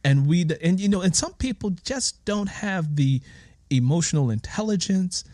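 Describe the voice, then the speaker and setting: A man hosting an interview in a reflective, conversational way, deliberately building and restating his questions. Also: high-pitched, lively intonation, dark tone, no echo, clean studio sound. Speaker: interview host.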